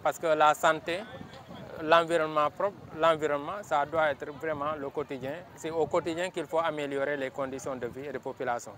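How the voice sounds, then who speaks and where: Higher-pitched Senegalese man, earnest and emphatic. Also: steady tone, a little fast, a bit bright. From Casamance, Senegal. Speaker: local elected official. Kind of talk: semi-formal spoken statement to local radio. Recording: outdoor field recording with background noise.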